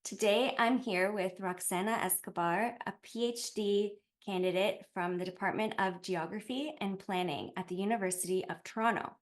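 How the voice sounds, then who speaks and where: A woman hosting an academic podcast, reading prepared questions in a measured way. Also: medium pitch, steady tone. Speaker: podcast host. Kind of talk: scripted interview questions. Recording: loud music under the voice. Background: loud background music.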